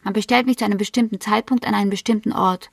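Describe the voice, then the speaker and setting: An actress playing a cool, self-possessed woman in a German radio crime drama, speaking deliberately and matter-of-factly, sometimes almost whispering. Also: medium pitch, fairly even intonation, vocal fry, dry recording, clean studio sound, far from the mic. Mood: assertive, proud.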